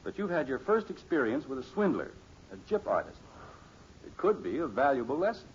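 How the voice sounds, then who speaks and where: A man's voice: on the high side, very animated, a little fast. An actor playing a sensible middle-aged father in a 1950s radio sitcom, patiently lecturing with a pompous self-assurance. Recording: muffled, hissy old radio recording.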